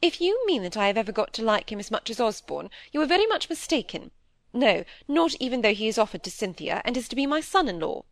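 A woman's voice: on the high side, very animated, quick pace, dry recording, studio quality, voice pushed up. A woman reading an audiobook, voicing a middle-aged wife who is fretful, wheedling and full of plaintive excuses. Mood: pouting. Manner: plaintive, pouting, evasive.

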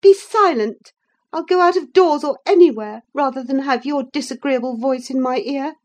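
A woman voicing a scornful, haughty young widowed lady in an audiobook, imperious and biting, quick to rage. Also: high voice, level tone, darkish tone, close to an everyday voice. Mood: furious. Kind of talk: dramatised audiobook reading of a classic novel.

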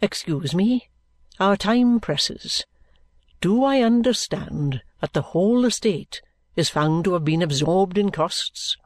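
A woman voicing an earnest, considerate gentleman in an audiobook, polite and composed. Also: lowish voice, expressive, slow pace, no room sound. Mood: earnest, urgent.

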